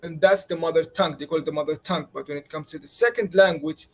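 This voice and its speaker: A man's voice: fairly high pitch, steady tone, fast. A young man from Qatar answering interview questions, explaining step by step with many hesitations. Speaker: interviewee, student.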